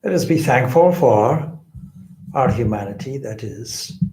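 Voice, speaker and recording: A man gives guided spiritual instruction at a very slow pace. His phrasing is deliberate and measured, broken by pauses. His mid-pitched voice echoes in the room. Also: expressive, warm tone. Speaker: meditation teacher, swami.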